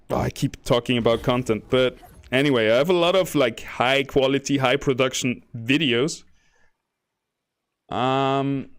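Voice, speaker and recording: A man chats casually over his gameplay stream in a soft, low-key voice at a middle pitch. He describes his own delivery as monotone, a kind of bedroom voice. He drifts between topics and chuckles between remarks. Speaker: game streamer. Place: home.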